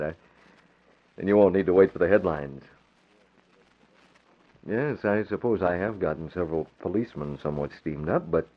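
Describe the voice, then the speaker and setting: An actor playing a calm, confident, brisk crime-fighting publisher in 1940s radio drama style. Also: male voice, sits low, slightly rough. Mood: polite, assured. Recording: muffled vintage radio recording.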